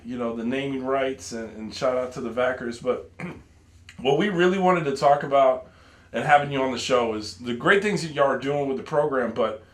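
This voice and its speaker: A man's voice: mid-range voice, normal speed, echoey room. A man hosting a casual podcast, friendly and leading the questions with easy fillers. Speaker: podcast host.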